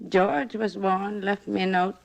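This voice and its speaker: A woman's voice: mid-range voice, fairly even intonation, measured pace. An actress playing a tough, stubborn older woman who keeps a hotel, speaking bluntly in a 1950s radio drama. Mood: defiant. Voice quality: gravelly, blunt. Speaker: hotel keeper. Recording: vintage 1950s radio broadcast.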